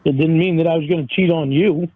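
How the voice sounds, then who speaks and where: A man with a slightly high voice argues over a thin, band-limited phone connection. He sounds defensive and insistent, going back over the same points in a heated exchange. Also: fairly even intonation, quick pace, slightly rough, phone-quality sound. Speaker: caller in an argument.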